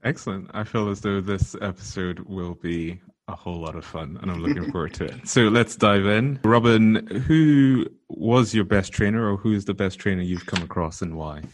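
A man's voice: low-pitched, normal speed, hardly any pauses, no room sound. A man hosting a podcast interview, asking questions in an upbeat, friendly way. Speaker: podcast host.

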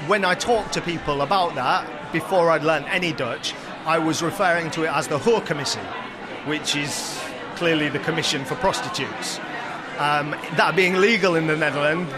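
A man from England with a Yorkshire accent, telling his story in a chatty, self-deprecating way in a street interview. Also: on the high side. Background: crowd noise.

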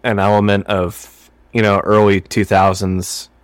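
A male podcast co-host with a low voice and a slightly phlegmy sound, recorded very dry. He chats casually, feeling his way through explanations with thoughtful pauses. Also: level tone, smooth, dry recording.

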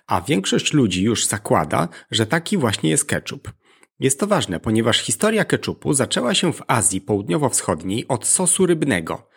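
Husky male podcast narrator with lively intonation. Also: medium pitch. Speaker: podcast host. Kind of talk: scripted educational narration.

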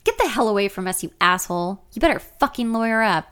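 A woman voicing a fiercely protective, combative female friend in a story, loud and confrontational. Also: mid-range voice, expressive, quick pace, clear, resonant tone, close to an everyday voice. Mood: outraged.

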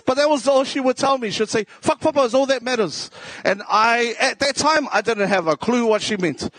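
A man's voice: very high-pitched, a little fast, a bit bright, no echo. A man with a South Auckland New Zealand accent telling stories casually on stage as a comedian, joking and self-deprecating in slangy speech. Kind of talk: casual comedic live storytelling.

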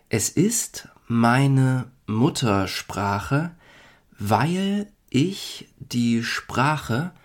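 A man from Germany hosting a podcast, reading a lesson for learners in slow, deliberate, clearly spaced phrases. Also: medium pitch. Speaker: podcast host teaching German.

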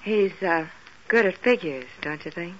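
A woman's voice: on the low side, unhurried, fairly bright tone, a little hiss or hum. A woman playing a seductive, evasive femme fatale with a coy, hesitant manner in 1940s radio drama. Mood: coy, breezy. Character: Blanche, toy-sized seductive, evasive brunette femme fatale. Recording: muffled old radio recording.

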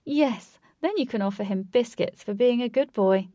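A woman's voice: fairly high pitch, normal speed, mellow, dry recording, muffled recording, voice pushed up, close to an everyday voice. A woman voicing a cheeky, knowing female adviser in an audiobook, playful and emphatic as she hands out advice. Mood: teasing, knowing. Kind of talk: audiobook character voice.

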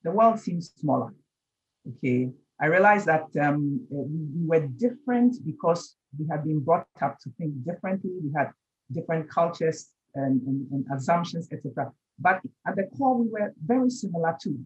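A man in his forties from Ghana, a professor being interviewed, explaining in a measured way with repeated stumbles on words. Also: on the high side, animated, breathy edge. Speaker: professor, guest. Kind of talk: interview answers. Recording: dull remote call audio.

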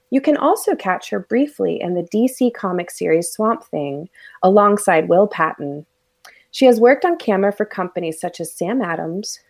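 A woman's voice: on the low side, darkish tone. A middle-aged woman hosting a local business podcast, reading an introduction and then chatting with her guest in an upbeat way. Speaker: podcast host.

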